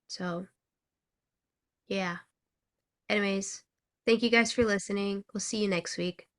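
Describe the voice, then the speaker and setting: A woman's voice: medium pitch, fairly even intonation, a little slow. A woman in her twenties, from Thailand, co-hosting a podcast with dry, teasing remarks. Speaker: podcast co-host. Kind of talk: casual chat.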